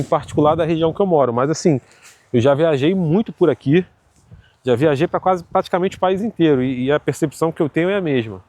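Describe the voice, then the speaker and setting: A man's voice: on the high side, no echo. A man with a Carioca accent from Rio de Janeiro, talking casually and chatting to his viewers with lots of fillers. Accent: Carioca Brazilian Portuguese. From Rio de Janeiro, Brazil. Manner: relaxed, chatty, many fillers and tag questions. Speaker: vlogger. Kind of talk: casual vlog monologue.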